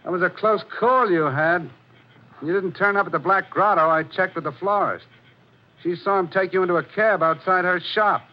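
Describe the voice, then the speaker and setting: An actor playing a brisk, reassuring, matter-of-fact police sergeant in a 1940s radio drama. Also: male voice, sits high, close to an everyday voice. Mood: relieved, reassuring. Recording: muffled 1940s radio recording.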